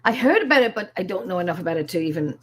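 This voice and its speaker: A woman's voice: fairly low pitch, sing-song, racing, crowd noise. A woman with an Irish accent, co-hosting a show in casual, conversational chat.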